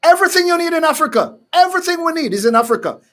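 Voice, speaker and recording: Man, talk show host, high voice, fiery, emphatic, rhetorical questions. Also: expressive, rapid-fire, fairly bright tone. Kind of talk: heated call-in style talk.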